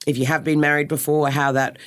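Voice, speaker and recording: Booming-voiced Australian woman celebrant chatting. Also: flat delivery. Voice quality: booming, projecting voice. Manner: chatty and joking, quick asides. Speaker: wedding celebrant, podcast co-host. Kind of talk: casual podcast chat.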